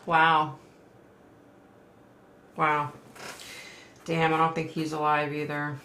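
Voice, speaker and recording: A middle-aged woman hosting a casual livestream from home, reading viewer comments aloud and reacting in a chatty, rambling way while her voice goes hoarse. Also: low-pitched, level tone, slow, pushed and bright, reverberant, a little hiss or hum. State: losing her voice.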